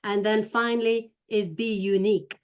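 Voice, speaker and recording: Mid-voiced woman speaker, brisk and structured, panel answer. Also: medium pitch, fairly even intonation, a little mellow. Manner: brisk, listing points clearly. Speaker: panel speaker, dance teacher.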